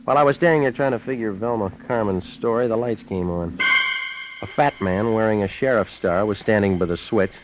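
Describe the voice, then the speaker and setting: An actor as a brisk, hard-boiled private eye. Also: male voice, lowish voice, heavy background noise, lightly acted. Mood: deadpan narrating. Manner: deadpan, clipped, wry. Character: wisecracking, hard-boiled male private detective. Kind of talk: first-person noir narration in vintage radio drama. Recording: dull vintage radio recording.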